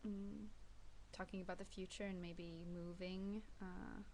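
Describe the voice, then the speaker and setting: A woman's voice: lowish voice, level tone, a little slow, a little mellow, a little hiss or hum, rough recording. A young Swedish woman, not a native English speaker, talking hesitantly in a casual personal vlog, with many fillers and restarts. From Sweden. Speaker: vlogger.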